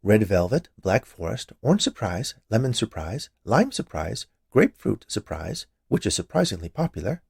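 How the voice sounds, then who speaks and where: A man's voice: on the low side, very animated, moderate pace, gravelly, few pauses, slightly rough, no room sound, studio quality, exaggerated voice. A man voicing a cheerful, chatty large woman who runs a candy shop in a storybook tale. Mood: cheerful, proud. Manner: bright, chatty, eager.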